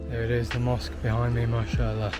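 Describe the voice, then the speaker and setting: A man's voice: medium pitch, monotone, fast. A man in his thirties chatting casually and admiringly as a travel vlogger, recorded outdoors on a handheld camera. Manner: admiring, repetitive exclamations. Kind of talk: casual travel vlog chat. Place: outdoors at a mountain mosque. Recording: loud music under the voice. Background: loud music.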